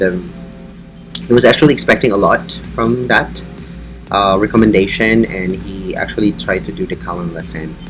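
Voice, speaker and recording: Man, Filipino accent, low-pitched, encouraging online English tutor, faint background music. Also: low-quality recording. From Philippines. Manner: earnest, encouraging, explanatory. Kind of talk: casual teaching vlog.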